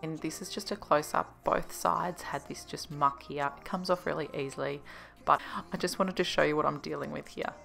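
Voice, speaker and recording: A woman's voice: sits low, fairly even intonation, moderate pace, vocal fry, fairly bright tone, faint music behind. A woman in her thirties with an Australian accent, narrating a casual cleaning vlog in a chatty, explanatory way. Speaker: home organisation vlogger.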